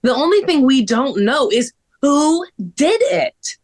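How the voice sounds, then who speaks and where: A woman plays a female amateur sleuth in a scripted read. She is earnest and in charge, speaking on the high side with lots of swoop. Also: very animated, slow pace, exaggerated voice. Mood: earnest, urgent. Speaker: actor in a table read.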